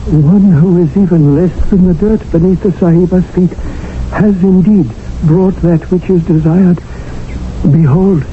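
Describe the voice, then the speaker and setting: A humble Indian servant, formal archaic speech, raised pitch, phone-thin 1970s radio sound. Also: male voice, fairly even intonation, unhurried, slight background noise. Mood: servile. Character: humble, flowery Indian male household servant. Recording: tinny 1970s radio broadcast with music.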